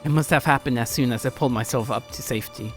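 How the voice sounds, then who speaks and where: A man hosting a let's play, narrating a visual novel aloud theatrically and often stumbling over the text. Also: fast. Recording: music behind, low-quality home recording.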